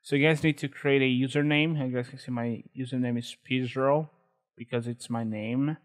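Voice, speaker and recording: Young man, Brazilian Portuguese accent, casual screen tutorial, filler-heavy, mid-pitched voice. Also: moderate pace. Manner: relaxed, hesitant, many fillers and restarts. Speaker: tutorial host. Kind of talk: screen-recorded walkthrough.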